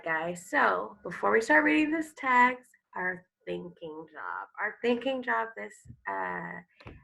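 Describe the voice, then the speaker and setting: A woman with a heavily creaky, bright voice speaks as an elementary teacher reading aloud to children. She takes her time, explaining and prompting in an instructional way. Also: mid-range voice, low-quality recording. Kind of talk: read-aloud lesson with vocabulary teaching and think-aloud commentary.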